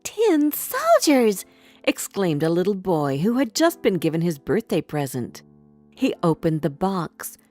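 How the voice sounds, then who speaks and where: A woman with a fairly low voice reads a children's fairy tale. Her storytelling has a very animated melody, and soft music plays behind her. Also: moderate pace. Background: background music.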